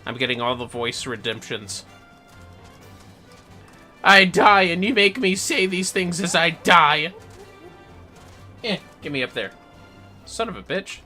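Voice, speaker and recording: A man's voice: on the high side, animated, slow, long pauses, bright, forceful tone, faint music behind. A man giving casual, playful, sweary commentary on a gaming livestream, prone to exclamations.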